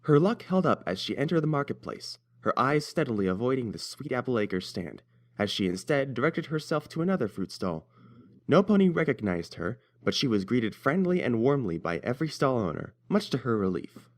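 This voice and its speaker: A man's voice: mid-range voice, very animated, fairly quick. A man narrating a scripted story as its reader.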